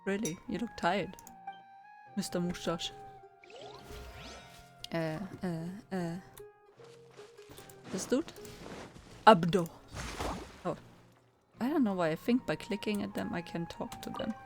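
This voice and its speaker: A woman's voice: lowish voice, takes it slowly, pauses often, music in the background. A woman giving casual gameplay commentary with wry, teasing asides. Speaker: gamer commentator.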